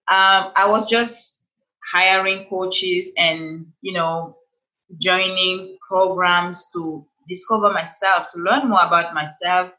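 Bright-voiced Cameroonian woman, chatty. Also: on the low side. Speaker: podcast guest, life coach. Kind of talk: casual interview talk. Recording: dull lo-fi remote line.

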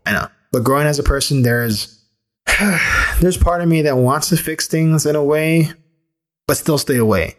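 A man in his thirties hosting a solo podcast, talking candidly and thinking aloud with restarts and the odd swear word. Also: medium pitch. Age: 30s. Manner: casual with occasional swearing. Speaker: podcast host. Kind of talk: solo reflective podcast monologue.

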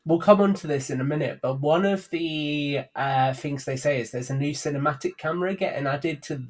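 A man's voice: on the high side, a little fast. A man speaking as a podcast guest, explaining things in casual, enthusiastic, informal chat.

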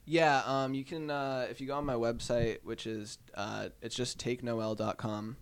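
A man's voice: mid-range voice, fairly even intonation, measured pace, clean tone. A young man from New Hampshire, a guest photographer, talking casually on a podcast with many fillers and restarts. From Manchester, New Hampshire.

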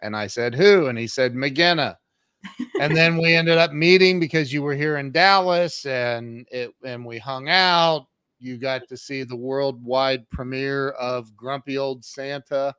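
Slow-paced man hosting a casual interview. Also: medium pitch, a bit bright, clean tone, dry recording. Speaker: talk show host and interviewer.

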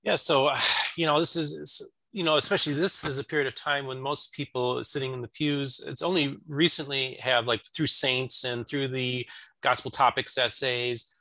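A man's voice: mid-range voice, fairly even intonation, normal speed, gravelly, bright, forceful tone, no echo, poor audio. A man hosting an interview, asking long casual questions and repeating words as he forms them. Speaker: interviewer, host.

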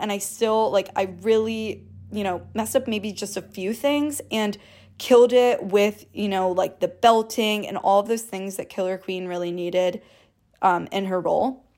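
Young woman podcaster, low alto voice, rambly and chatty, says like a lot, swears casually. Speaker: podcast host. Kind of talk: casual solo podcast storytelling.

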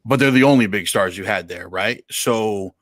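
A man's voice: fairly low pitch, moderate pace, fairly bright tone, dry recording. A man giving blunt, slangy opinions in casual sports podcast conversation. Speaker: wrestling podcast panelist.

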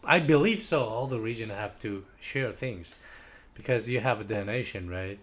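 A man with a Russian accent, a guest in a casual chat, speaking in halting English and searching for words. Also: on the low side, fairly bright tone.

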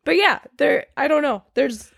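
A woman's voice: sits high, expressive, moderate pace, vocal fry, fairly bright tone, no room sound, studio quality. A woman co-hosting a casual film discussion, enthusiastic and swearing casually.

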